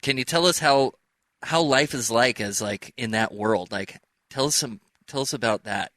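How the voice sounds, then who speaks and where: A man's voice: medium pitch, moderate pace, fairly bright tone, dry recording. An American man hosting a casual interview, asking questions in an informal, chatty way. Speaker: interview host.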